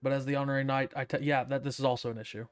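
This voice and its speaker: A man's voice: mid-range voice, flat delivery, very fast, clean tone. A man giving casual commentary as he streams a game, with a wry, sardonic manner.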